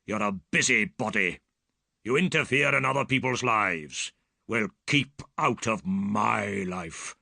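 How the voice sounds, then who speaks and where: A man's voice: on the low side, slow, creaky, bright, forceful tone, no room sound, full character voice. A man voicing a large, rude, threatening older man. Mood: menacing. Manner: rude, menacing.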